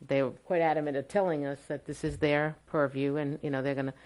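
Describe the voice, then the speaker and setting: A woman on a town board explaining an issue at a public meeting, halting and repeating words as she goes. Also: low-pitched, little pitch movement, a little mellow, poor audio. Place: town hall meeting room.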